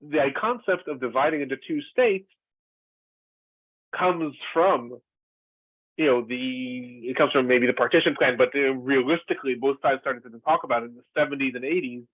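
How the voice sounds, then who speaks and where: A man's voice: medium pitch, moderate pace, no room sound. Man from Israel on a tinny call. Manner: thoughtful, many fillers and restarts. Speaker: former lecturer in a discussion panel. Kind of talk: earnest discussion. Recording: tinny, dry call audio.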